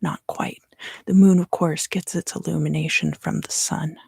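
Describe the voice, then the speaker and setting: A woman softly whispering an ASMR tarot reading as a livestream host, soothing and unhurried, in a roomy recording. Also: deep voice, steady tone, vocal fry, husky, clean studio sound.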